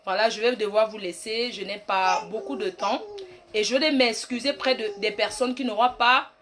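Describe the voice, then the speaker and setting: A woman's voice: fairly high pitch, steady tone, normal speed, a crowd in the background, lightly acted. A woman playing a brash, boastful self-styled queen mother in a rambling comic monologue. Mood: preachy. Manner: bossy, rambling, mock-grand, trash-talking. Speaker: comic online personality. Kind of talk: comic live-stream monologue.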